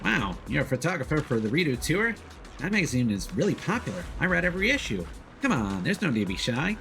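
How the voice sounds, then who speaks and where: A man acts a cheerful, encouraging video game promoter, coaxing and upbeat. The pitch is lively, and faint music sits behind it. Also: quick pace. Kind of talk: reading game dialogue aloud in character.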